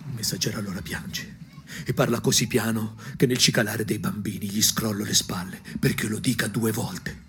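A man from provincial Tuscany narrating a theatrical radio monologue, husky, dramatic and ironic, dropping into whispers. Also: on the low side, fairly even intonation, moderate pace, a bit bright, a little hiss or hum. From provincial Tuscany, Italy. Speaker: narrator and actor.

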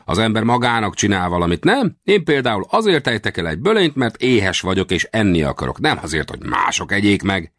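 A man's voice: lowish voice, very animated, few pauses, a bit bright, dry recording, clean studio sound, voice pushed up, exaggerated voice. A man voicing a cranky, proud little old Wild West hunter in a storybook, with blustering, exclamatory, folksy talk. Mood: puzzled, mocking.

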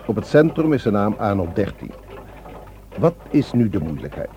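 Mellow, courteous helpline volunteer. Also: male voice, fairly low pitch, big pitch swings, normal speed. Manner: polite, formal, principled. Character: courteous, firm male helpline volunteer guarding secrets. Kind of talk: radio drama acting. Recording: muffled, noisy old radio play recording.

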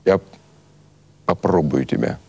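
A man voicing a one-eyed gang boss who talks in a measured, blunt way. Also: rumbling low, creaky, darkish tone, husky, pitched below the performer's own voice, heavily acted. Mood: stern. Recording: music behind the voice.

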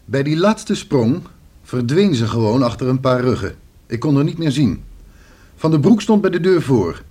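A talkative male police officer in a radio play. His medium-pitched voice is very animated and swings widely. He reports matter-of-factly, a little on the defensive. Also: moderate pace, slightly rough. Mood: matter-of-fact reporting. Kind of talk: Dutch radio play acting.

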